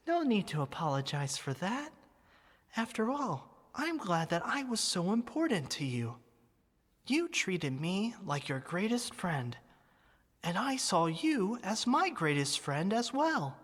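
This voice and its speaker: A woman playing an affectionate, wistful teddy bear come to life in an ASMR roleplay, speaking gently and expressively to an old friend. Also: mid-range voice, sing-song, slow pace, in a large hall. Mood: tender, bittersweet.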